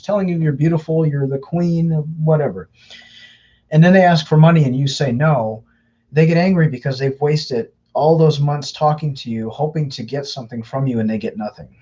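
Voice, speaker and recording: A man's voice: fairly high pitch, warm tone, husky, muffled recording. A man hosting a live Q&A, earnestly giving advice to viewers in a loose, repetitive way. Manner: earnest, advising, repeats words often. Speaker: host answering viewer questions. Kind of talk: live Q&A, casual explaining.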